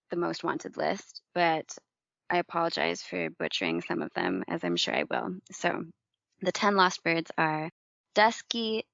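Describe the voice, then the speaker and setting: A woman who grew up in the Philippines, co-hosting a casual news podcast, chatty and quick to laugh, stumbling over hard names. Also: fairly low pitch, vocal fry, fairly bright tone, no room sound. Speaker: podcast co-host. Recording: dull lo-fi dry recording.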